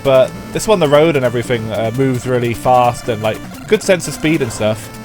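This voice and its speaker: A man with a stuffy, congested voice talks casually through a live stream and rambles to his chat as he plays. His pitch sits at an ordinary middle height. Faint game music runs under his voice. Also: normal speed. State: stuffy from a head cold. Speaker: streamer playing a retro racing game.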